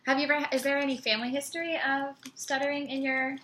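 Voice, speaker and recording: A young woman interviewing a patient, asking steady questions with some fillers. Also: high-pitched, fast talker, slight background noise. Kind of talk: clinical interview.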